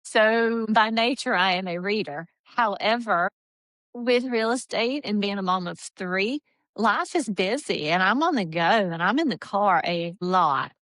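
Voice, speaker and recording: A woman's voice: medium pitch, expressive, measured pace, fairly bright tone, no room sound. Earnest woman, dry close sound. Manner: earnest, explanatory, with many restarts. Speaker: real estate agent, guest. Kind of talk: conversational interview answers.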